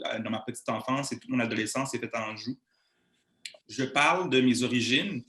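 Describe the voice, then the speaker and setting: A man in his thirties with a Québécois accent speaks at a middle pitch, taking his time. He stumbles over words and restarts his sentences often. His voice carries a noticeable room echo. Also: sharp tone. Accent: Québécois French. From Montreal, Canada. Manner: hesitant, many fillers and restarts. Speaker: guest speaker. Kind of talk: conversational interview answer.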